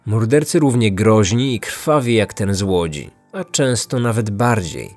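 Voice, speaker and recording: Lowish-voiced male true-crime narrator. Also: expressive, measured pace, darkish tone. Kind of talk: scripted dramatic narration. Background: music.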